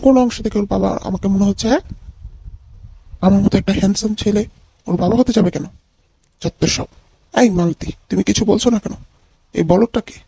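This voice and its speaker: A man voicing a vain, huffy old sugar daddy with a husky, creaky voice and blustering manner in an amateur comedy cartoon. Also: sits high, mellow, no room sound, exaggerated voice. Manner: indignant, blustering.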